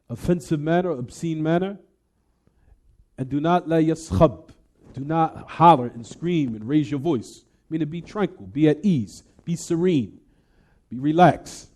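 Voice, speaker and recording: A man's voice: a little high, mellow. A man giving a religious lecture in a didactic manner, repeating phrases and putting questions to his listeners as he explains texts.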